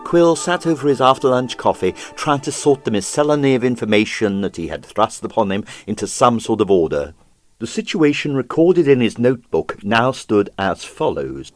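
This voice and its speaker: A man narrating a detective story as an audiobook reader, theatrical and measured, with clipped phrasing. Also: medium pitch, lively intonation, faint music behind. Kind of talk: dramatized reading of a comic detective novel.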